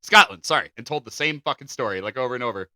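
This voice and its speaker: Bright, lively-voiced male podcast host. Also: medium pitch, fast talker, dry recording, poor audio. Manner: animated, jokey. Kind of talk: casual chat, reading folklore aloud.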